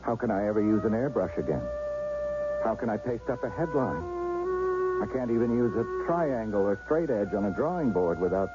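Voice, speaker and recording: Man doing a bitter hurt artist, low voice, muffled old radio with music under it. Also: expressive, brisk, close to an everyday voice. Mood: despairing. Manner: bitter, despairing. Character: injured despairing male artist. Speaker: narrator, injured husband. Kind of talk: 1970s radio drama narration. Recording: dull old radio recording with loud music.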